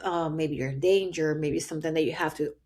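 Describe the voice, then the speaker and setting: A woman's voice: sits low, steady tone, a little fast, darkish tone. A woman with a Puerto Rican accent and Spanish as her first language, hosting a motivational podcast and talking straight to her listeners in an earnest, encouraging way. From Puerto Rico. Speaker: podcast host.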